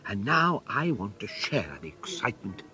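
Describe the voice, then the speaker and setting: A man playing a middle-aged English gentleman turned schemer in a comic radio play, theatrical and persuasive. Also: lowish voice, big pitch swings, moderate pace, soft background music, full character voice. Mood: exhilarated.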